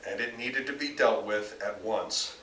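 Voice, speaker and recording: A man's voice: lowish voice, a little slow, bright, forceful tone. A man reading his own story aloud in a dramatic, emphatic storytelling manner. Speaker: author reading own work. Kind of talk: live literary reading, scripted narration. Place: bookstore reading event before an audience. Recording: distant mic, echoey room, faint noise.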